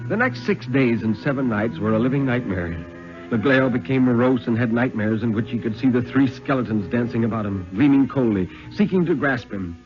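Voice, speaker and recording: A man giving a theatrical, suspenseful dramatic reading of a first-person thriller in 1950s radio style, with deliberate phrasing. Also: medium pitch, expressive, faint music behind. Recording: dull vintage 1950s radio recording.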